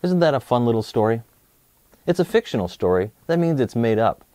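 A man in his thirties presenting and reading a children's storybook aloud in an expressive way, speaking to young listeners. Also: mid-range voice, a little fast, no room sound. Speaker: host of a children's read-aloud show.

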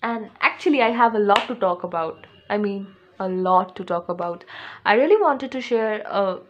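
A teenage girl from India talks straight into a phone camera held close, in a small room at home. Her Indian English is loose and chatty, full of fillers and self-corrections as she wanders from thought to thought. Her voice sits at an ordinary middle pitch. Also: expressive, moderate pace, lo-fi sound. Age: teens. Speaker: vlogger. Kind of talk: casual first vlog chat to camera. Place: small room at home, indoors, books behind.

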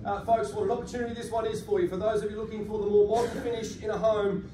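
High-pitched Australian male auctioneer, echoey room. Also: flat delivery, fast. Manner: projected, polished salesman patter. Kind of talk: live property auction calling. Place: large carpeted indoor function room. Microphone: far camera across the room. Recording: echoey room, distant mic, faint noise.

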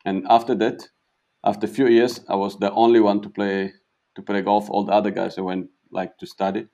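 Low, flat-voiced Italian man. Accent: Italian, with a touch of South African. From Italy. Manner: halting non-native English, repeats words. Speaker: pro golfer, guest. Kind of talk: casual interview answers.

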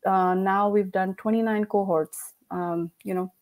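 A woman's voice: medium pitch, steady tone, unhurried, darkish tone. A woman with an Indian accent talks as a guest on a remote podcast, speaking through a headset from a room at home. She is chatty, laughs often and fills her sentences with "like" and "you know". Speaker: podcast guest, company founder. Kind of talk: casual interview chat. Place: home room, video call. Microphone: headset with mic.

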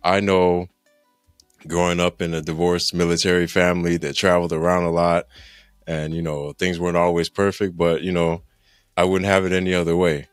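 A man's voice: sits low, level tone, moderate pace, no echo, soft background music. A man in his thirties, a podcast guest talking casually about growing up, rambling and laughing often, on a studio mic at home over a video call. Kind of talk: casual interview chat. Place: home room, indoors. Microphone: studio mic with headphones, video call.

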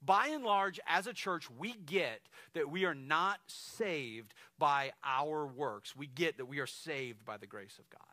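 Pastor guy in his 40s doing a sermon, chatty, keeps saying right?, bright voice, dry close sound. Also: a little high, no echo. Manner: animated, conversational preaching with frequent "right?" asides and gestures. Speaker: lead pastor. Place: stage of a large dark church hall, indoors.

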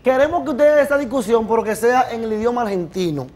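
A man's voice: very high-pitched, darkish tone. A man hosting a segment on a TV studio show, playful and teasing as he sets up a game. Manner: playful, teasing, quick jokes. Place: large TV studio with audience.

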